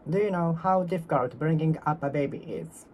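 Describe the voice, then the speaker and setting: A speaker from Japan with a Japanese accent, practicing English alone, repeating and reworking each sentence in a careful, halting way. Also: medium pitch, darkish tone, a little hiss or hum, low-quality recording. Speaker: English learner. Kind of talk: solo speaking practice, talking to oneself.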